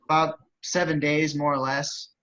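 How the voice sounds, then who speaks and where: A man's voice: fairly high pitch, flat delivery, a little slow, sharp tone, highs cut off. A man playing a frazzled, stubborn computer technician in an amateur screenplay table read, emphatic and insistent in delivery. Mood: matter-of-fact.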